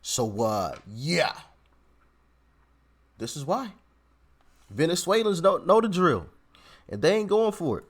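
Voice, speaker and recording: A man's voice: on the high side, sing-song, slow pace, long pauses. A man hosting a reaction video, commenting casually and animatedly, with exclamations and restarts.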